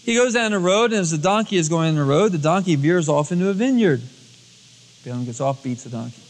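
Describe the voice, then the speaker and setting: High-pitched male pastor in a reverberant hall. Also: animated, fast. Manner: dramatizes dialogue in everyday colloquial words. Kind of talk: storytelling sermon. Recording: long hall reverb, cassette tape transfer.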